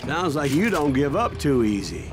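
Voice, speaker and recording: An actor playing a skeptical, plain-spoken Old West saloon listener with a blunt, colloquial way of talking. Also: male voice, on the high side, very animated, normal speed. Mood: impressed. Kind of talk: game voice acting. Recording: loud music under the voice.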